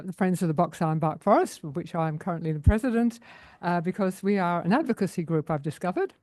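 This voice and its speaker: Earnest woman, dark dry voice. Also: lowish voice, dry recording. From Australia. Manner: earnest, unhurried, with many fillers. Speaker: community group president. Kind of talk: public comment at council meeting.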